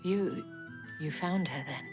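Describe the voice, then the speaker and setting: An actress playing a poised, worldly mature madam with a hushed, breathy voice, formal and measured, in a video game cutscene. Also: female voice, low-pitched, music in the background. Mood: sorrowful.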